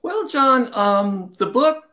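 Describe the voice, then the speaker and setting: A man's voice: very high-pitched, lively intonation, slow pace, pushed and bright. An older man answering interview questions as a writer, in a rambling, self-deprecating, chuckling conversational way.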